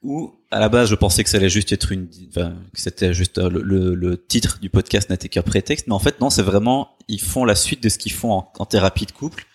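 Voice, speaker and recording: A man's voice: lowish voice, a little fast, few pauses, husky. A man talking in casual conversation as a panelist on a podcast. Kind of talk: casual chat.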